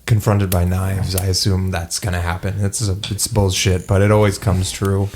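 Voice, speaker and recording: A man's voice: low-pitched, flat delivery, moderate pace, darkish tone, slight background noise. A man playing in a tabletop game, improvising his role-play in a casual conversational way.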